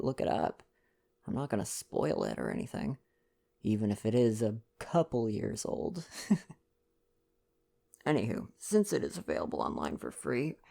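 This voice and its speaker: A young man doing an intimate ASMR boyfriend roleplay, chatting casually and reading aloud in a gentle, playful, affectionate way. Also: mid-range voice, vocal fry. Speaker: ASMR roleplay performer and reader.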